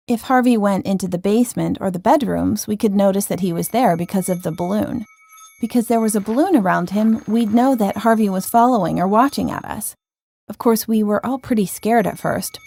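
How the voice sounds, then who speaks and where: A woman's voice: medium pitch, darkish tone. A woman reading a scripted first-person ghost story as a narrator.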